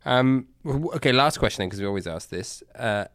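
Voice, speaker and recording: A man co-hosting a podcast interview, easygoing and quick to agree in casual chat. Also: mid-range voice, lively intonation, a bit bright, no echo, studio quality. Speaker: podcast co-host.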